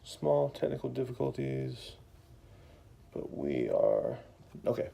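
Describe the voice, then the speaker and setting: A man with a soft-edged, mellow voice hosts a family livestream as a dad. He moves between casual chat and reading a children's bedtime story, explaining things informally. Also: mid-range voice, huge pitch swings, slow, gravelly, dull audio.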